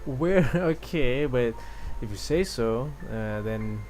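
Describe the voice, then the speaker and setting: A man's voice: mid-range voice, animated, slow pace, darkish tone. A man livestreaming a game, reading dialogue aloud with some drama and stumbles, and reacting casually. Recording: game music behind the voice.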